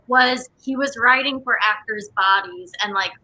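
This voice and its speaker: Casting: woman interview guest, bright forceful voice, enthusiastic, casual chat. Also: on the high side, normal speed, poor audio. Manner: enthusiastic, frequent "like". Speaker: interview guest, theatre company member.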